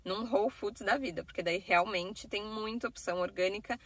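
A woman's voice: mid-range voice, fairly quick, no echo. A young woman with a Brazilian Portuguese accent, chatting casually to her phone camera from a parked car in a vlog, full of little fillers. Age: young adult. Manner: chatty, talking with her hands, many fillers like "né" and "assim". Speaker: vlogger. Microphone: phone camera at arm's length.

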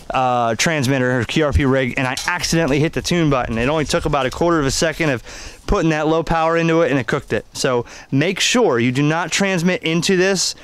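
A man giving an unscripted hobbyist review and demonstration of radio gear in a halting, conversational, explanatory way. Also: fairly high pitch, a bit bright, slight background noise.

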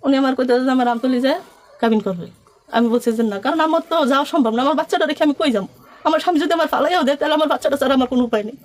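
High-pitched, fast-talking young woman. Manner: rambling, pleading account quoting conversations. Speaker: complainant being interviewed. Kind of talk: spontaneous personal account.

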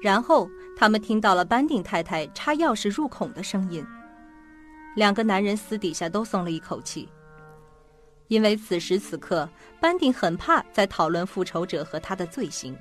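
A woman narrator reads scripted radio drama prose. Her voice is medium in pitch, with faint music playing behind her. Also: lively intonation, moderate pace.

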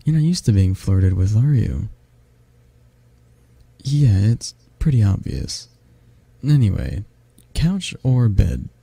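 A man playing a tall, affectionate, flirty half-dragon in an intimate ASMR roleplay, speaking gently and haltingly with a low, husky, gravelly voice. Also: big pitch swings, no echo, exaggerated voice. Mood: teasing, flirty. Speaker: roleplay voice actor.

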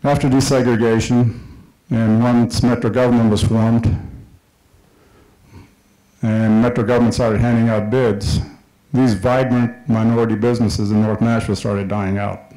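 A man's voice: medium pitch, flat delivery, normal speed, mellow, poor audio. A middle-aged man from Kenya speaking at a public session, delivering an impassioned, emphatic speech that repeats its key points. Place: large hall with a screen, indoors. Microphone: handheld microphone.

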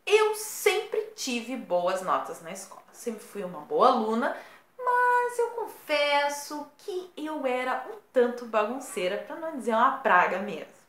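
A woman's voice: fairly high pitch, measured pace, roomy sound. Chatty woman with a southern Brazilian accent. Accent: Rio Grande do Sul Brazilian Portuguese. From born in São Paulo, raised in Rio Grande do Sul. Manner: chatty, playful, expressive. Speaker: YouTuber. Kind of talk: casual vlog to camera. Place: small room indoors. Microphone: camera at close range.

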